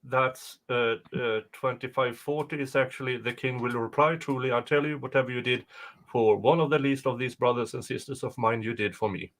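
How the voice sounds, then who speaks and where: A man with a bright, forceful voice of average pitch takes the part of co-host. He reads written passages aloud and talks about them in a casual way. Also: brisk, poor audio. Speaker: podcast co-host reading a document aloud. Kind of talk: reading aloud with casual chat.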